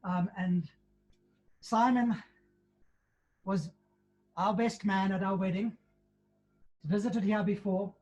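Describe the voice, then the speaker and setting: A man in his sixties hosting a wake, introducing speakers in a halting, gentle way. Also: high voice, steady tone, lo-fi sound, slight background noise. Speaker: host of a wake.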